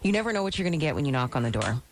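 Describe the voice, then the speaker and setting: Woman, low voice, lively intonation, chatty, laughs often. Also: very fast, a little hiss or hum. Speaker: real estate sales representative. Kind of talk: casual radio chat, storytelling. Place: radio studio.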